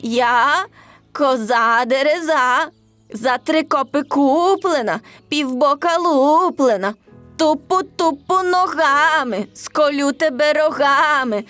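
A woman's voice: sits high, a bit bright, no room sound, pitched above the performer's own voice, full character voice. A woman voicing a sly, plaintive female goat in a children's folk tale, with a singsong rhymed delivery. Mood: boastful threat. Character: sly whining female goat, feigning hunger, boastful and menacing.

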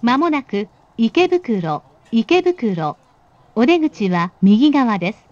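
Calm polite woman train announcer, slow and lilting, muffled dry sound from the car speakers. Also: fairly high pitch, dry recording. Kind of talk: recorded on-board station announcements. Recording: dull recording from inside a train.

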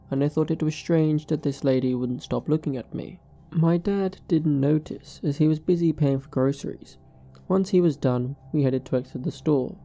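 Female horror narrator, deeply mellow timbre, measured scripted read. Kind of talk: scripted first-person narration.

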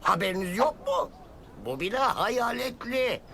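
A man voicing a male building contractor in a dubbed cartoon, warning slowly and ominously. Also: lively intonation, background noise, exaggerated voice. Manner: slow, low, ominous warnings. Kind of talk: cartoon dubbing.

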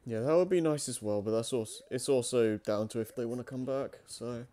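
A man's voice: mid-range voice, brisk, warm tone. A man in his twenties chatting casually as a co-host, easygoing and agreeable. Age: 20s. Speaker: co-host and channel owner. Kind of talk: casual chat, channel update.

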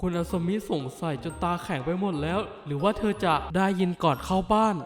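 A man voicing a bookish, easily flustered teenage schoolboy in an animated dub, grumbling to himself. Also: sits high, fairly quick, soft background music, close to an everyday voice. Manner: flustered, grumbling inner monologue. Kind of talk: anime dub acting.

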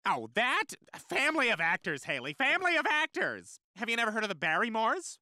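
Flamboyant cartoon alien: nasal, bright, very animated, catty and scheming. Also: male voice, high voice, fast, no echo, studio quality, full character voice. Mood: defensive, breezy. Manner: campy, theatrical, catty. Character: flamboyant, scheming, self-absorbed male alien. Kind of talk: animated comedy voice acting.

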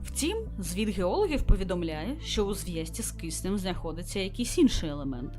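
A woman voicing a composed female starship captain who reads a mission log in a formal, measured way, as scripted visual novel narration. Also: on the low side, very animated, over music. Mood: factual, concerned.

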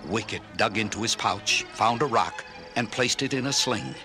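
A man narrating a children's story in an expressive, clearly enunciated read-along style. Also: lowish voice, normal speed, over music. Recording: orchestral film score under narration.

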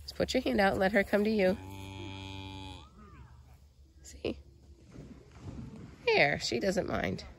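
Laughing farm woman with a gravelly voice. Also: mid-range voice, slow pace, long pauses. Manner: relaxed, laughs often, narrates for viewers. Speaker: farm vlogger. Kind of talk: casual family chat. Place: outdoors on a farm by a pond.

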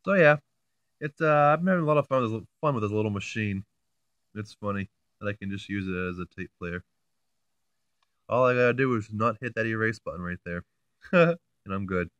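A man's voice: lowish voice, expressive, brisk, long pauses, a bit bright, no echo, muffled recording. A man talking casually and informally in a rambling, mildly amused way, like someone giving a hands-on hobby demo. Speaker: hobbyist video maker.

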